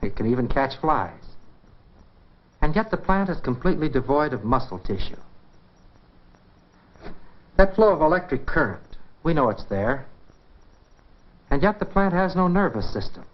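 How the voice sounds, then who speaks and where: A man's voice: a little high, big pitch swings, normal speed, long pauses. An American man presenting a 1950s educational science film, explaining in a conversational, folksy way with rhetorical questions. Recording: dull 1950s film soundtrack.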